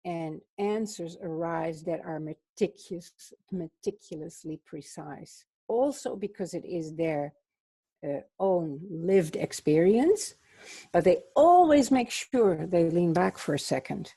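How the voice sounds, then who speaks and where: Slow, husky woman musing contemplatively. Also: lowish voice, animated, dark tone. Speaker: book club co-host. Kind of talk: contemplative spiritual discussion.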